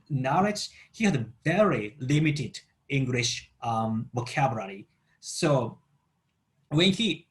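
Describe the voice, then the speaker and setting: Halting Japanese-accented man, lively pitch. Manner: halting, searching for English words. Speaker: book channel host. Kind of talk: explanatory book talk.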